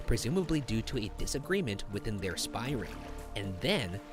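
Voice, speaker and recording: A man reading a scripted mystery narration in a measured, storytelling delivery. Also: on the low side, sing-song. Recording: narration over background music.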